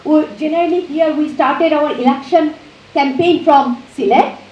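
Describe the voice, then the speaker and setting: A woman's voice: sits high, moderate pace, darkish tone. A woman from Bangladesh, a native Bengali speaker with a Bangladeshi accent, gives an academic lecture as a professor, often repeating and rephrasing her phrases. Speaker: university professor giving a lecture. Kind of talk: academic lecture, partly extemporized. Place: university auditorium. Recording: muffled, echoey hall with background noise.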